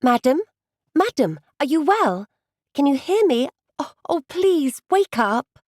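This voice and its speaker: A woman voicing a brisk, sensible young woman who takes charge in an audiobook, speaking urgently and earnestly. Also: high-pitched, very animated, darkish tone, dry recording, studio quality, exaggerated voice. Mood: alarmed. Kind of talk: audiobook dialogue reading.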